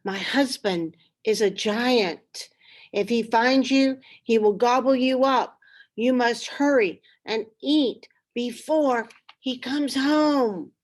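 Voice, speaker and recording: Lady doing a kind huge giant's wife from a storybook, higher voice, anxious and urgent. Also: slow pace. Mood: urgent warning.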